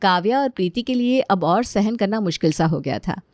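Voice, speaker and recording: A woman's voice: medium pitch, animated, a little fast, a little mellow, no room sound. A woman narrating a scripted family moral story.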